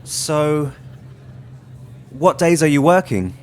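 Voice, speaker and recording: A man's voice: a little high, sing-song, slow, a little hiss or hum, voice pushed up. A man playing a smitten, bookish young retail worker in an audio drama, hesitant and earnest. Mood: awkward, smitten.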